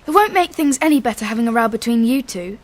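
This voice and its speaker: A woman's voice: fairly high pitch, expressive, fast talker. An actress playing a sensible, motherly schoolgirl older sister in a radio drama. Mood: pleading.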